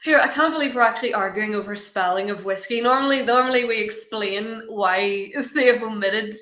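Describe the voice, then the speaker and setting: A woman co-hosting a whiskey show, telling stories in a lively way. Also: mid-range voice, a little fast, reverberant. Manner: lively storyteller, laughs often. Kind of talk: casual chat.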